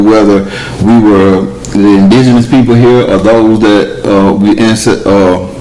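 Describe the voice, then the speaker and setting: Animated man in casual talk. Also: a little hiss or hum, poor audio. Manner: animated, emphatic, rising pitch when arguing. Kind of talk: casual discussion.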